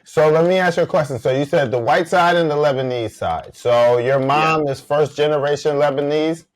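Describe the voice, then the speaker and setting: A man's voice: a little high, normal speed, poor audio. A man hosting a podcast, asking questions in a teasing, slangy, casual way. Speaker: podcast host. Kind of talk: casual chat.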